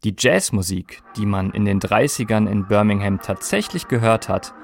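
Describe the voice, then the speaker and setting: A man's voice: on the low side, moderate pace, creaky, soft background music. A man presenting a scripted podcast documentary in an explanatory way, speaking directly to listeners. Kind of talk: scripted music documentary narration.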